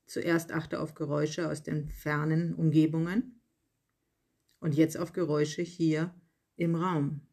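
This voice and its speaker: Very slow-paced guided meditation voice. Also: mid-range voice, breathy edge. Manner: calm, measured instructions in short phrases with pauses. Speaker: yoga nidra guide. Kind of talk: slow guided relaxation meditation, read from a script.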